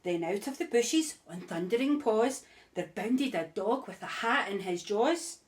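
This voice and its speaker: A woman reading a rhyming picture book aloud in a rhythmic, expressive way. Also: medium pitch, measured pace. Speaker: storybook reader.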